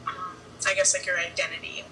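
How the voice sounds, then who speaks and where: A woman's voice: lowish voice, level tone, quick pace, bright, forceful tone, distant mic, slight background noise, poor audio. A woman conducting an interview, asking rambling questions full of likes.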